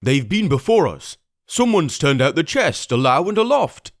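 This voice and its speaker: A man voicing a rough pirate calling down from a window, talking in sailor's slang. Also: fairly high pitch, big pitch swings, moderate pace, dry recording, clean studio sound, voice pushed up. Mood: alarmed. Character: rough male pirate searching an inn.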